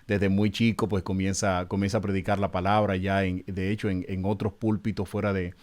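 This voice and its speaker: A man's voice: low-pitched, little pitch movement, a little fast, darkish tone. A man, a pastor hosting an interview, asking questions courteously and often restarting and repeating words. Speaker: pastor and host.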